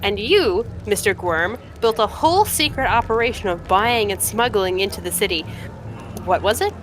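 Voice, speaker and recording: A woman's voice: mid-range voice, expressive, moderate pace, close to an everyday voice. A woman voicing a tough, wry female city scout in a video game, with a folksy, sarcastic, slangy way of talking. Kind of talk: reading video game dialogue aloud. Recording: loud music under the voice.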